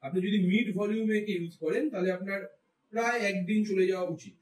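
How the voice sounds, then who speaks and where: A man with an Indian Bengali accent talks quickly through a casual product review. His voice is high for a man and he slips English phrases into his explanations. The recording is echoey, picked up from some distance. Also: steady tone. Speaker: tech reviewer, vlogger. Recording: roomy echo, distant mic.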